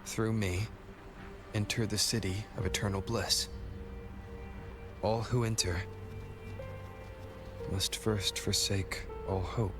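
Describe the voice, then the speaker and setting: A man's voice: on the low side, level tone, slow, pauses often, music in the background. A man playing a solemn, foreboding figure in a video game, reciting like a formal proclamation.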